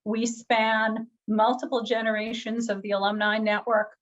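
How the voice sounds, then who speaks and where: Woman, webinar host, video call, enthusiastic, self-deprecating, slightly high pitch, dull lo-fi sound. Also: level tone, moderate pace. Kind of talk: casual presentation over video call.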